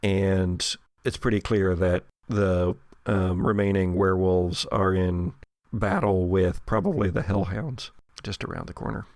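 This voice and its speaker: Gravelly, low-voiced man narrating a horror game. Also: measured pace, darkish tone, dry recording. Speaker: game master (the Keeper) of an actual play podcast.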